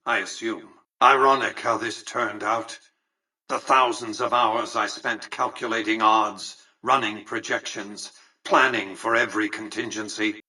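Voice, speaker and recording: Husky, haughty tycoon. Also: male voice, mid-range voice, sharp tone, distant mic, exaggerated voice, poor audio. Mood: wary, resigned. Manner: precise, formal, haughty. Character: imperious, calculating old male tycoon, coolly condescending. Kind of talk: video game character dialogue.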